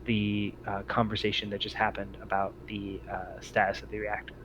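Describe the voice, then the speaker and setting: A man's voice: fairly low pitch, completely flat delivery, normal speed. A man running an online tabletop game as game master, speaking casually with hesitant, encouraging phrasing. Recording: muffled, noisy home mic on online call.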